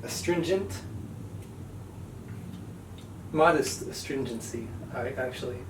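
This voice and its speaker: A man co-hosting a podcast in casual chat, giving dry, brief deadpan replies. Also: medium pitch, normal speed. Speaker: podcast co-host. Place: home studio room. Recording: echoey room, mic far off, background noise.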